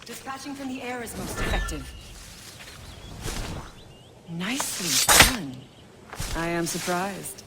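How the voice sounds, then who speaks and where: A woman's voice: mid-range voice, expressive, slow pace, long pauses, very noisy. A woman playing a stern, proud assassin combat teacher in a video game, commanding and teasing, grudging with praise. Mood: grudging approval. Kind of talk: video game cutscene acting.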